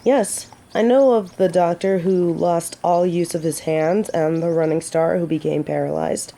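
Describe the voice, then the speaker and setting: A woman's voice: on the low side, steady tone, a little slow, creaky, mellow. A woman playing a gallant, teasing yet earnest female knight in a romantic fantasy audio drama, with formal, old-fashioned phrasing. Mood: concerned, sincere.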